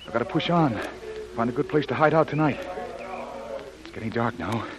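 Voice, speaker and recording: A man's voice: medium pitch, lively intonation, fairly quick, a crowd in the background, lightly acted, poor audio. An actor playing a rugged male jungle adventurer, urgent and protective, in old radio acting. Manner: urgent, protective, talking to himself. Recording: hissy old radio recording.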